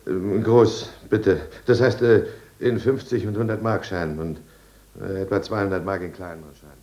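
An actor playing a bitter, defiant drunkard husband, agitated and volatile, in a 1950s radio drama. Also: male voice, sits low, fairly even intonation, moderate pace, darkish tone, muffled recording, natural voice. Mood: hesitant.

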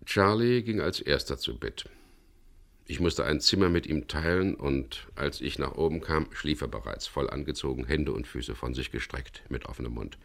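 Sehr tiefer, knarrender Kapitän. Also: male voice, rumbling low, expressive, normal speed, gravelly, a bit bright, exaggerated voice. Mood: calm, matter-of-fact. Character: wary, gruff boat skipper, man, narrating his adventure. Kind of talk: radio crime drama acting and narration.

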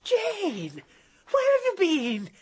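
An excitable, well-to-do English wife in a radio drama. Her voice is high and swoops widely, quick to sound indignant. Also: full character voice. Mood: agitated, eager.

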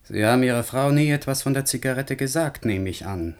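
A man's voice: mid-range voice, brisk, clean tone, close to an everyday voice. A man playing a determined, idealistic scientist in a German radio drama, speaking in an insistent, articulate way. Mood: probing. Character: determined, idealistic male scientist, stubborn and defiant.

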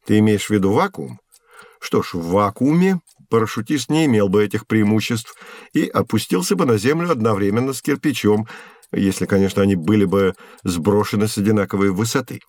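An actor playing a patient, kindly physics professor who explains and gently corrects in a scripted educational audio drama. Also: male voice, lowish voice, expressive, fairly quick, dry recording, natural voice. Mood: patiently explaining.

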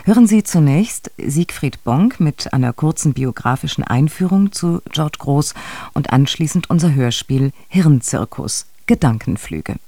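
Soft, mellow male radio announcer. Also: a little high, expressive, unhurried, no echo. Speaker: radio announcer introducing a radio play.

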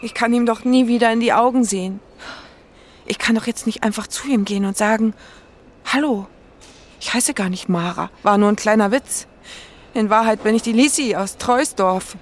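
A woman's voice: medium pitch, fairly even intonation, normal speed, close to an everyday voice. An actress playing a lovelorn, guilt-ridden, melodramatic woman who talks in an agitated, theatrical way in an audio drama. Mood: guilty, bitter. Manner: agitated, theatrical, self-accusing. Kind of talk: audio drama dialogue acting.

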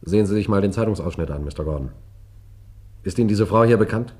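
A man playing a composed detective who narrates and questions in a German radio crime play, measured and pointed. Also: on the low side, darkish tone, breathy edge. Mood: confronting. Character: composed, probing male detective narrating his case.

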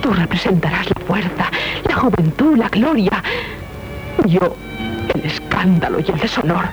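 A woman's voice: fairly low pitch, expressive, unhurried. An actress playing an anguished, guilt-ridden young noblewoman in dramatic, solemn 1970s radio theatre, with a Castilian Spanish accent. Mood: despairing. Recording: muffled old radio recording with music.

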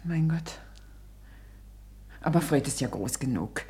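An actress in a German radio play playing an anxious mother searching for her missing small son, tense and hurried, with strained politeness. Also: deep voice, lively intonation, noisy background. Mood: worried, brooding.

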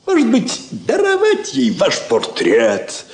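A man's voice: sits high, huge pitch swings, takes it slowly, heavily acted. A man acting a deferential, fussy court counsellor in a stage drama. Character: obsequious, cautious male royal counsellor. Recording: roomy old recording with hiss.

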